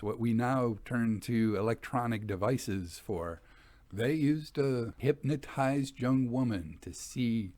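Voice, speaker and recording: A man hosting and reading for a literary podcast, speaking articulately with measured phrasing and expressive emphasis. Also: medium pitch, no echo. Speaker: host and reader of a ghost story podcast. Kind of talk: literary reading and informal scripted commentary.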